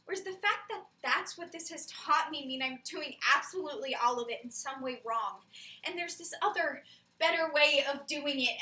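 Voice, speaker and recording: Actress as self-doubting young woman, theatrical dramatic comedy, urgent questioning, high voice, roomy distant sound. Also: sharp tone. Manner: searching, rhetorical, emphatic questioning. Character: troubled young woman agonizing over love and self-doubt. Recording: echoey room, far from mic.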